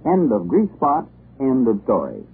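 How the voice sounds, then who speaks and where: A man's voice: mid-range voice, wildly swooping pitch, measured pace, a little hiss or hum. A man announcing a 1940s radio commercial in a clipped, telegraphic storytelling manner. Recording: muffled old radio broadcast.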